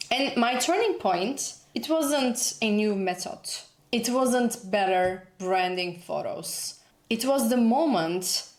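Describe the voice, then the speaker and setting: Woman, thirties, Turkish accent, unhurried and emphatic, echoey room. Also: mid-range voice. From Turkey. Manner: earnest, emphatic, repeats for emphasis. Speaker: personal branding coach. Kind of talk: motivational talk to camera.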